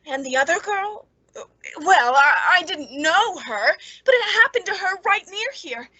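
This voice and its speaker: Actress as nervous, high-voiced woman in radio suspense. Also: animated, bright, forceful tone, no echo, muffled recording, full character voice. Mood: frightened. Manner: hesitant, halting, pleading. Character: Anna, nervous, fearful, secretly bitter reclusive woman. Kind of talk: old-time radio suspense acting.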